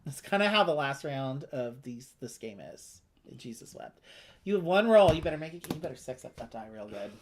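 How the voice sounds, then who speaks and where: A woman with a fairly low voice talks through a board game as its host. She chats casually, with wry and bawdy asides. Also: sing-song, normal speed, slight background noise. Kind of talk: casual chat and game commentary.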